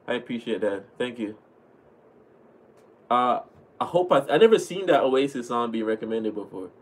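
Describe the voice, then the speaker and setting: A man's voice: mid-range voice, normal speed. A young man in his twenties from Jamaica with a Jamaican accent, chatting casually on a livestream and answering viewers from a small room at home. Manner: relaxed, chatty, thanks viewers often. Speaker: livestream host reading chat. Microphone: webcam at desk.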